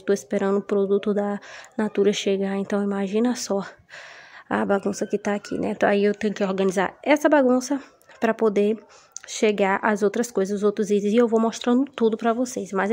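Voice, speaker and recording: Brazilian woman, hoarse from a cold. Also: mid-range voice, warm tone. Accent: Brazilian Portuguese. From Maranhão, Brazil. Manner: chatty, frequent tag questions to viewers. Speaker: vlogger. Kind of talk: casual haul vlog. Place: home kitchen, indoors. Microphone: phone camera held over table.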